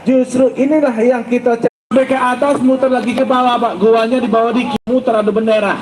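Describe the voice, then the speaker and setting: High-voiced joking ustaz in echoey hall. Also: male voice, flat delivery, brisk, darkish tone. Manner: joking, quizzes the audience. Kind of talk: humorous sermon to school students. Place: school event hall. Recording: echoey hall, background noise and traffic.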